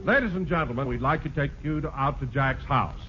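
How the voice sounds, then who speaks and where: A man's voice: medium pitch, brisk. A man announcing a 1950s radio comedy show with a grand, projected showman's delivery. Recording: old muffled radio broadcast, loud music. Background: loud music.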